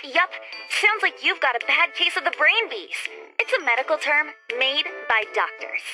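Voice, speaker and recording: Perky, high-voiced schoolgirl. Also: sing-song, normal speed, sharp tone, faint music behind, exaggerated voice. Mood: perky, assured. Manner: chirpy, salesy. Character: perky, know-it-all schoolgirl in an advert. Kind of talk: old-time radio advert acting.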